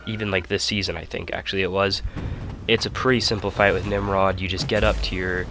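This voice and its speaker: A man's voice: deep voice, quick pace. A young man with a stuffy nose giving casual, rambling commentary over his own gameplay. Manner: relaxed, rambling, self-correcting. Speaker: gaming channel host. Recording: voice-over with loud game music behind.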